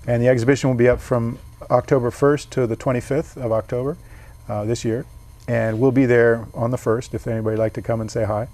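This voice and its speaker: A man's voice: mid-range voice, level tone, fairly quick, darkish tone. A man, a painter being interviewed, talking things through thoughtfully with frequent restarts. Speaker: painter and guest. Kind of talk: casual interview.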